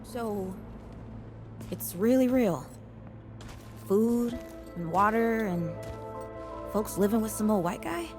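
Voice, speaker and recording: A woman's voice: medium pitch, animated, takes it slowly, pauses often. A woman playing a weary, stubborn traveler in a video game cutscene. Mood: weary wonder. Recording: music behind the voice.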